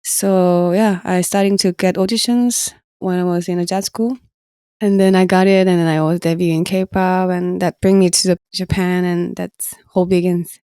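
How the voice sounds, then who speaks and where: A woman in her thirties with a Korean accent, a musician guest talking about her career in halting, earnest English with frequent light laughs. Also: on the low side, little pitch movement, mellow, clear, resonant tone, no room sound, clean studio sound. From South Korea. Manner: halting, earnest, laughs often, simple phrasing. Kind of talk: casual interview talk.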